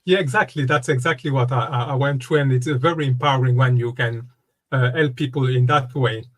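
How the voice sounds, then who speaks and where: A man in his fifties, originally from the Democratic Republic of Congo, talks as a guest in a relaxed interview. His delivery is halting, full of fillers and repeated starts, at a middle pitch. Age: 50s. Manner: halting, many fillers and restarts. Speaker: guest, wellness coach and speaker. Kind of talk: casual interview conversation.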